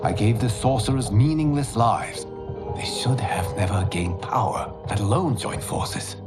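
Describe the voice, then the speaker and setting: An actor playing a calm, commanding male protector god in a game cutscene. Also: fairly low pitch. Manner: measured, commanding. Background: orchestral game music.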